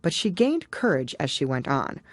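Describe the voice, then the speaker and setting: Low-pitched woman narrating a storybook, very expressive. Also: normal speed, no room sound. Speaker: audiobook narrator.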